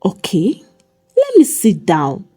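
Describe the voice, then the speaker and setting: A woman's voice: medium pitch, wildly swooping pitch, slow pace, mellow, husky, faint music behind, full character voice. A woman voicing a proud, sassy young seamstress in a folk tale, blunt and mocking. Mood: teasing.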